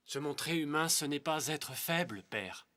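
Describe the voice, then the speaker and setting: An actor playing an earnest, humane young Hebrew prince with a resolute manner in a dubbed animated film. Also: male voice, on the high side, unhurried.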